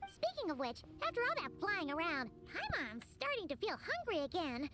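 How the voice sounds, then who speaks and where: A woman voicing a tiny, excitable childlike fairy companion in a video game, squeaky and perky. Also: moderate pace, exaggerated voice. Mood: cheerful. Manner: perky, refers to herself in third person. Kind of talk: video game character acting. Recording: loud game music behind. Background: playful game music.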